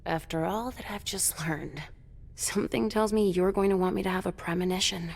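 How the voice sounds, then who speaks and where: A woman's voice: lowish voice, moderate pace, creaky. A woman playing a troubled, wary mother who sees visions, guarded and weary in a video game cutscene.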